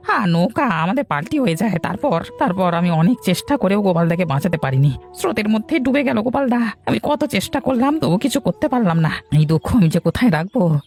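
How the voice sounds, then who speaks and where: Sly cartoon villager feigning grief, played by a man: highish swooping pitch, whining laments, music behind. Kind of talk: melodramatic cartoon dubbing.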